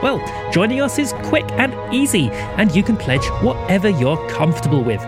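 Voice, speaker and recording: Very animated male announcer over loud music. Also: high-pitched, moderate pace. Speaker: podcast announcer. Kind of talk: scripted patron appeal.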